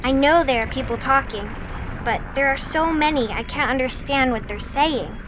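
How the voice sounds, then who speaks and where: Woman acting anxious little girl, high voice, hesitant childlike phrasing, audio drama, engine-like noise behind. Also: normal speed, close to an everyday voice. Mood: wondering, eerie. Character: young daughter, innocent, anxious, recounting strange dreams.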